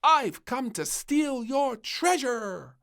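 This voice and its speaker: Man doing a high, cheeky little princess girl. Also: sing-song, very slow, gravelly, a bit bright, heavily acted. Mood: bold. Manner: exclaiming, gleeful, childlike. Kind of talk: children's storybook character voice.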